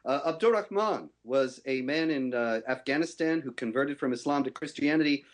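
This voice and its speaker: Regular-pitched guy, steady and firm, lots of uhs, tv debate. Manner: measured, firm, many uh fillers. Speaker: debate guest. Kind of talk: televised debate rebuttal.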